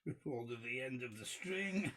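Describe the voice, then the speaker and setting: A man giving a casual hands-on repair tutorial in an unhurried, informal, step-by-step way. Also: medium pitch, animated, fairly bright tone, rough recording. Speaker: toy repair demonstrator.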